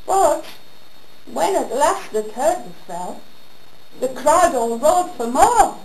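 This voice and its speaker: High, animated woman reciting comic verse. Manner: theatrical storytelling with deliberate pauses. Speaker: monologue performer. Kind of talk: comic rhyming monologue recitation. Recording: roomy echo with background noise.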